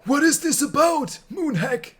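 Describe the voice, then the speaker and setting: Man voicing a furious old ice king, booming, very high and bright, stern. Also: animated, measured pace, exaggerated voice.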